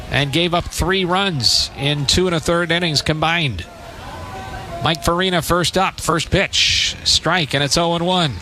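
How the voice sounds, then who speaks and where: A man's voice: fairly high pitch, noisy background. A man doing live radio baseball play-by-play, giving clipped calls and statistics from a stadium. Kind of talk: live radio sports commentary.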